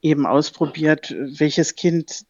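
A woman's voice: low voice, fairly even intonation, slow pace, a little mellow, no room sound, muffled recording. An older woman from Berlin, an actress and voice actress, talking frankly and emphatically as an interview guest. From Berlin, Germany. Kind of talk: interview guest, casual chat.

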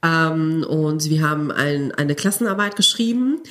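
A woman's voice: low-pitched, expressive, a little slow, fairly bright tone. A young woman from Cologne, a teacher, chatting as a podcast guest and telling stories with laughs. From Cologne, Germany. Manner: storytelling, laughs while telling. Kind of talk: casual podcast chat.

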